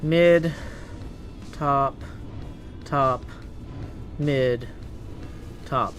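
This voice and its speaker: A man's voice: mid-range voice, very slow, a bit bright, faint music behind. A man streaming a video game with casual commentary, muttering as he thinks aloud.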